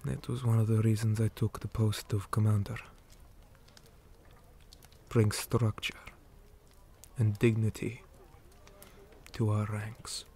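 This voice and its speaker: A weary, principled orc commander, acted out by a man for an ASMR roleplay. He speaks very slowly in a low, dark voice, grave and measured, often sinking into a breathy whisper. There is faint noise behind him. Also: little pitch movement. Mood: calm, resolute.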